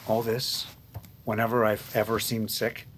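An actor playing a proud, ailing president who sounds weary and wounded, in intimate audio drama dialogue over music. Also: male voice, lowish voice, monotone, unhurried, fairly bright tone, slight background noise. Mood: frail, tender.

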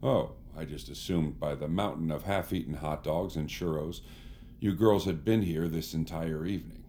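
An actor playing a smarmy, theatrical celebrity who poses as a savior, speaking in a pompous, evasive, grandiose way in an audio drama. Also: male voice, extremely low pitch, moderate pace, mellow, a little hiss or hum. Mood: smug, evasive.

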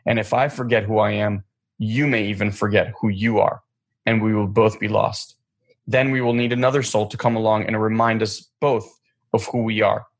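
A man voicing a kind, loving soul in a storybook reading, with a gravelly, husky voice. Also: on the low side, fairly even intonation, moderate pace. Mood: solemn.

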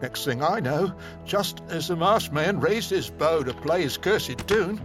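An ancient survivor in an audio drama, haunted and set on his mission. The voice is fairly high for a man, grave and theatrical, leaning hard on key words. Music plays underneath. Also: animated, measured pace. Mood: harrowed, intense. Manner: grave, theatrical, emphatic storytelling. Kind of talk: dramatic audio fiction narration. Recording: music behind the voice.

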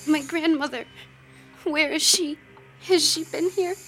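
A woman's voice: sits high, steady tone, measured pace, a bit bright, faint music behind. An actress playing a dazed, anxious teenage girl who speaks falteringly in an audio drama. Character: dazed, grieving teenage party girl in hospital.

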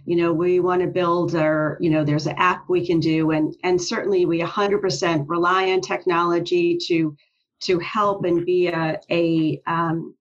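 Dull muffled audio, woman, slightly low voice, earnest, emphatic, conversational interview guest. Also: fairly even intonation, moderate pace. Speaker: interview guest, health organization leader.

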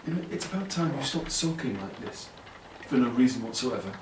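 A man's voice: medium pitch, animated, a little fast, close to an everyday voice. A man playing an aggrieved brother in an audio play, hesitant and needling, pushing with questions. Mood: uneasy, exasperated. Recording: roomy, distant mic, faint noise.

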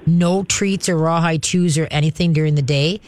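A woman's voice: low-pitched, steady tone, moderate pace, darkish tone. Chatty folksy woman in her sixties. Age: 60s. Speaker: pet care radio host. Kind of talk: call-in advice show.

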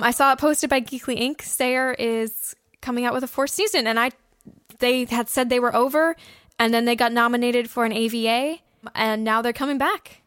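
A woman's voice: a little high, a bit bright, no room sound, clean studio sound. A woman co-hosting a podcast in casual, informal chat, giving enthusiastic recommendations. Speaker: podcast co-host.

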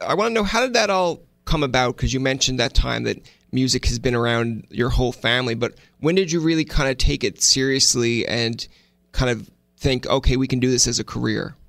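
A man with a mid-pitched, gravelly voice, recorded dry. He hosts an interview and puts his questions in a conversational style. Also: fairly quick, a bit bright, dry recording. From East Coast Canada. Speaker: interview host.

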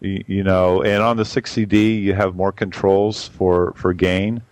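A man with a boomy voice giving a rambling, casual camera review full of fillers and restarts. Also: level tone, unhurried, darkish tone, dry recording. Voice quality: boomy on a mic. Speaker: photography podcast regular reviewing cameras.

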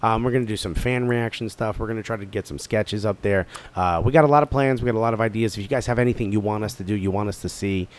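Fast-talking North Jersey guy. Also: lowish voice. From New Jersey area. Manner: fast-talking, joking, plugs the show. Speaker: podcast co-host. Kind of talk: casual chat.

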